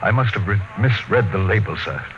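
Very deep-voiced nervous doctor. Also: male voice, animated, a little fast, fairly bright tone. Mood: nervous, ashamed. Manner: hesitant, stammering. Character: apologetic, nervous older expedition doctor, deferential. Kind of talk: old radio drama acting. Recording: muffled, noisy old radio broadcast.

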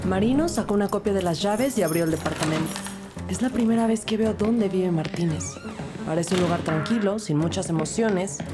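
A woman playing a composed, reflective police detective who narrates a crime audio drama in a measured, dramatic way. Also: medium pitch, lightly acted. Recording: music bed under the voice. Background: dramatic music.

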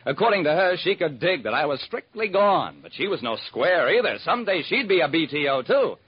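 A man's voice: on the high side, big pitch swings, brisk, slightly rough. An actor playing a wry, hard-boiled private detective, narrating his case in clipped old-time radio style. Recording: muffled vintage radio recording.

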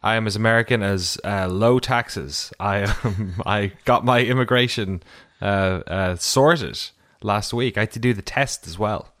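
A man's voice: lowish voice, unhurried, fairly bright tone. A man, co-hosting a podcast in casual chat, joking and laughing often. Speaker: podcast co-host.

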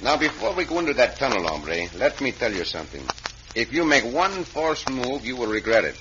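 A courtly mexican cowboy hero, really lively pitch, muffled old radio with hiss. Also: male voice, brisk. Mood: wary. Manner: formal, courtly phrasing. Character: suave, wary Mexican gallant adventurer hero. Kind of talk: old-time radio western acting. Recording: muffled, noisy vintage radio recording.

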